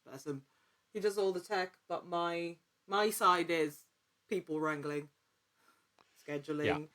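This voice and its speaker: A woman's voice: lowish voice, fairly even intonation, slow, a bit bright. A woman speaking as a guest in a casual interview, in a wordy, rambling way that often interrupts itself. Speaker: podcast guest, actor and producer.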